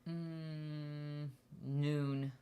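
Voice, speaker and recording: Woman, late 30s, very deep masculine-sounding voice, slow, playful, laughing. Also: little pitch movement, dark tone. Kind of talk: casual chat with friend and AI.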